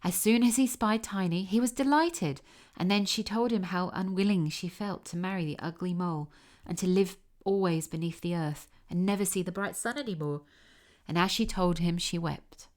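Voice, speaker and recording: A woman narrates a scripted fairy tale for children. Her voice sits a little low for a woman, and her storytelling is measured and expressive. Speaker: audiobook narrator.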